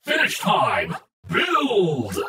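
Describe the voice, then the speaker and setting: Man as a shouting gadget announcer. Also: on the high side, huge pitch swings, extremely slow, pitched above the performer's own voice, exaggerated voice. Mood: booming, triumphant. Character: loud, proclaiming magical transformation belt.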